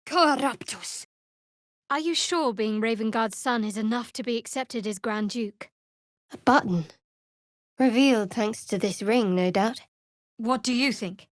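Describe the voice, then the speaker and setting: Actress doing a sardonic young dark cleric for a video game, guarded and dry, highish voice, super dry close recording. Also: female voice, a little slow, no room sound, natural voice. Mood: forceful incantation. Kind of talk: video game character voice acting.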